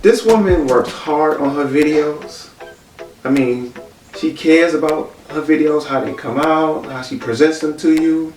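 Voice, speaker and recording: A man's voice: a little high, a little slow, darkish tone, recorded from a distance. A man in his fifties from Brooklyn, New York, joining his wife's vlog with brief, laid-back replies. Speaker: vlogger's husband. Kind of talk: casual couples Q&A chat. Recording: echoey room, off-mic, music behind.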